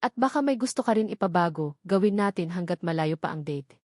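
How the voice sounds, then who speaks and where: A woman's voice: lowish voice, lively intonation, a little fast, darkish tone, no room sound, studio quality. A woman voicing an insistent, affectionate man engaged to the heroine, in a romance audio novel. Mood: calm, tender.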